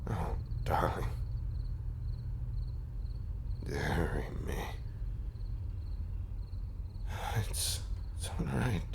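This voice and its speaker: Gentle zombie husband, played by a man, very low voice, slow and stammering, softly formal, intimate audio drama, faint insect noise behind. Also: full character voice. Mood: tender, comforting. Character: undead zombie husband, gentle, apologetic, tenderly devoted.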